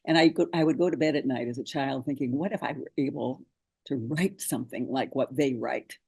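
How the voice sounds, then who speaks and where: A man's voice: medium pitch, brisk, creaky, a little mellow, slightly rough. A man speaking informally over a video call as a poet introducing and reading a sonnet.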